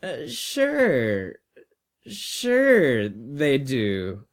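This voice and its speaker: A man's voice: medium pitch, huge pitch swings, very slow, full character voice. A man voicing an anxious teenage schoolboy in an audiobook, eager, hesitant and over-polite. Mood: earnest pleading. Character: teenage boy student, anxious, politely placating an old teacher.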